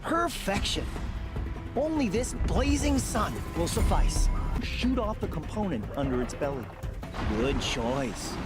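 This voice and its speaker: A quirky video game hunter with a fairly high voice that swings widely in pitch. He chatters in a theatrical, self-conscious way, an outcast who runs trials. Music plays clearly behind him. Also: big pitch swings, a little slow. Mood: eager, instructive. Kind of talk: video game character acting.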